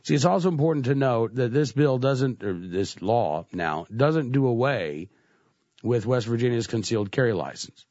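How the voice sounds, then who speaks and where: A man's voice: medium pitch, animated, moderate pace, a little mellow, no room sound, dull audio. A man hosting a talk radio show with a stuffy cold, speaking conversationally with frequent "uh" fillers. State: congested with a cold. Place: radio studio. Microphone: studio microphone.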